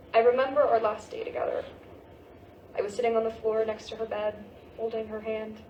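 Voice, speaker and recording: A woman's voice: on the high side, mellow, muffled recording, lightly acted. Woman acting a heartfelt teenage girl, echoey stage. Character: half-Japanese American teenage girl, grieving, searching for her identity. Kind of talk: staged play reading, emotional monologue. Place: theatre stage at a staged reading. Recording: echoey stage recording with faint noise.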